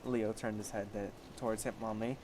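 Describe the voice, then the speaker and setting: A man's voice: on the low side, level tone, a little fast, darkish tone, slight background noise. A man casually reading fan fiction aloud as an amateur story reader, stumbling over the text and correcting himself.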